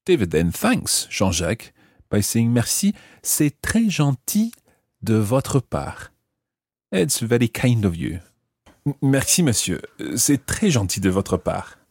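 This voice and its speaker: A man hosting a language lesson, explaining example phrases piece by piece with careful, measured articulation. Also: medium pitch, very animated, darkish tone, breathy edge, no echo, studio quality. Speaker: language teacher and host.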